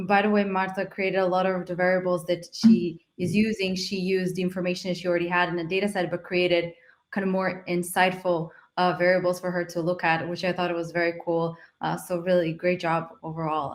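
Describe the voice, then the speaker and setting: A woman instructor giving casual, encouraging feedback on a video call, often repeating her phrases. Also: lowish voice.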